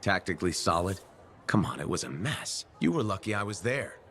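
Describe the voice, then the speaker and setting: A man acting as a steady, plain-spoken freelancer hero in a video game, direct and dryly amused. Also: low voice, fairly quick, heavily acted. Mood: dry exasperation. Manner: direct, dry, questioning.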